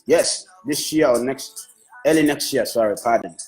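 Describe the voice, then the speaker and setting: A man's voice: medium pitch, moderate pace, low-quality recording. A man whose first language is Swahili, a music manager talking on a Facebook live, enthusiastic and repetitive, restarting his phrases as he praises an artist. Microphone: phone.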